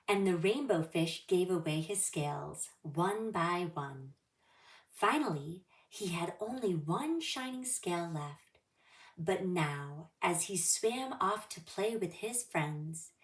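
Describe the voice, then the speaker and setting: A woman hosting a children's story time, reading aloud with deliberate, expressive phrasing, a little away from the microphone. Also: fairly low pitch, reverberant, distant mic.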